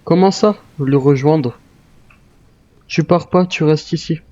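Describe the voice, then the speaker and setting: A man's voice: on the high side, slow pace, darkish tone, dry recording. A man improvising in character in an online role-play game, insistently repeating short phrases. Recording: slightly dull, lo-fi game voice chat.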